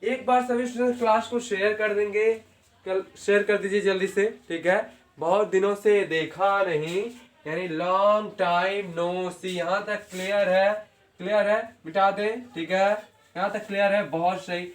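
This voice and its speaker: A man teaching everyday English sentences, repeating each phrase slowly and asking if the students follow. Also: high-pitched, fairly even intonation, roomy sound, distant mic. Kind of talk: spoken English lesson in Hindi.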